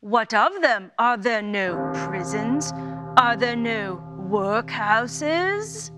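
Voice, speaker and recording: A woman's voice: a little high, very animated, drawn-out words, over music, heavily acted. A woman playing a solemn Christmas ghost in a radio play, giving grave and reproachful pronouncements. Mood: scornful. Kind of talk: radio play acting of a Victorian story.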